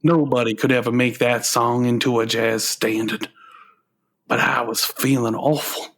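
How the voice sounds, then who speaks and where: Slow, melodramatic grown son played by a man. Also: mid-range voice, gravelly, full character voice. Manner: halting, melodramatic. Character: earnest, anguished grown son, frustrated musician. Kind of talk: comic radio play acting.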